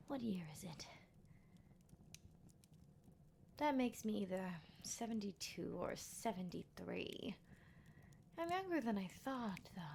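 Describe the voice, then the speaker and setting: A woman playing a teasing, sardonic female vampire captor in an ASMR roleplay, speaking in an unhurried, breathy half-whisper. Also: medium pitch, darkish tone, a little hiss or hum, natural voice. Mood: musing, sardonic. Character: playful, menacing female vampire captor, decades old.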